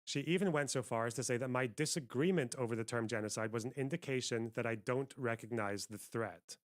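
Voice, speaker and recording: A man's voice: mid-range voice, a little fast. A man giving argumentative commentary, articulate and insistent as he makes his case. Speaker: video commentator and debater.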